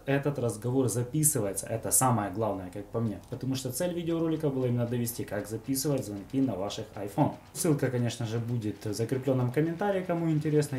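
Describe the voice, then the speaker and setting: A young man presents a how-to tutorial in an informal, explanatory way. His voice sits at an average male pitch, with a markedly dark and mellow tone. He talks straight to viewers as the host of a tips channel. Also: a little hiss or hum. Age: young adult.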